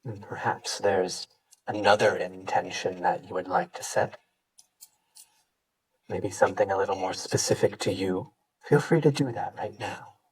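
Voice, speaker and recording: Low, husky-voiced man guiding meditation. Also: distant mic, rough recording. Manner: gentle, unhurried instruction with pauses. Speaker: yoga teacher. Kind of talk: guided meditation.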